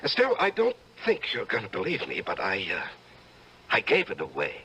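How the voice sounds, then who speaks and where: An actor playing an unhinged, secretive judge who kills and justifies it, speaking with brooding, theatrical deliberation in an old-time radio drama. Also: male voice, fairly low pitch, wildly swooping pitch, rough recording. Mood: evasive. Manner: deliberate, brooding, theatrical, halting when evasive. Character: unhinged murderous male judge, secretive, coolly self-justifying. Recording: radio drama with music underneath.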